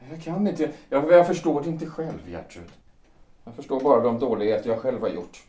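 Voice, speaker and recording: A man playing a wounded, jealous fifty-year-old former lover in a period audio drama, brooding and halting, often repeating his words. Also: medium pitch, lively intonation, mellow, recorded from a distance, natural voice. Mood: anguished. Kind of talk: period stage-play audio drama acting. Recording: roomy echo, away from mic.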